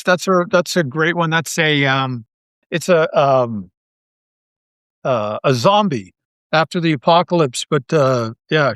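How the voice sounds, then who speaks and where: Higher-pitched man chatting as podcast guest. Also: fairly bright tone, dry recording, clean studio sound. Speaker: podcast guest, audio drama creator. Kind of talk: casual interview chat.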